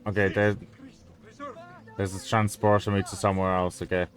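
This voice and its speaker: A man's voice: low voice, level tone, moderate pace. A man whose first language is Polish, giving rambling, hesitant gameplay commentary with frequent fillers and casual swearing. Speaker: gameplay video creator.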